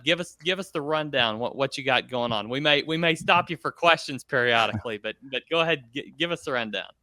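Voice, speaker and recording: A man's voice: mid-range voice, bright, forceful tone, dry recording. A man hosting a podcast in a casual, conversational style. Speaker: podcast host.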